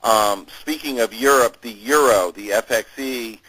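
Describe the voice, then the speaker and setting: A middle-aged man working as a market analyst, talking through informal commentary in a measured, conversational and hedging way. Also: mid-range voice, pushed and bright, slightly rough, dry recording, low-quality recording. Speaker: market analyst hosting a conference call.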